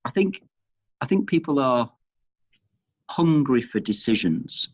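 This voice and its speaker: A man from Manchester with a Northern English accent, answering interview questions in a thoughtful, halting way, restarting phrases as he goes. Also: medium pitch, big pitch swings. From Manchester, England. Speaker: interview guest, chief executive of leadership institute. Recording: dull, muffled lo-fi audio.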